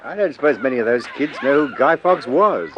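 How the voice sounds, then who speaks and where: An actor playing a bluff, teasing English police inspector with blunt, chummy delivery in a vintage radio drama. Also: male voice, mid-range voice, animated, a little fast, highs cut off, close to an everyday voice. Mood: wry, teasing. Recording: slightly noisy old radio drama.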